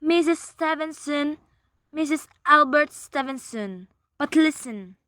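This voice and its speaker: A high, bright, agitated society wife played by a woman in a radio thriller. She is imperious and demanding, pressing her urgent case with indignation, recorded very dry and close. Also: takes it slowly, no room sound. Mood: agitated, impatient. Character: frantic, imperious wealthy married woman reporting a murder plot. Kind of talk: radio play acting, suspense thriller.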